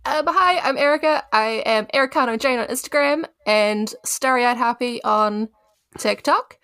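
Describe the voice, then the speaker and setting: A woman's voice: on the high side, normal speed, a bit bright, smooth, dry recording, studio quality. A woman, chatting casually as a player on a podcast. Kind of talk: casual chat.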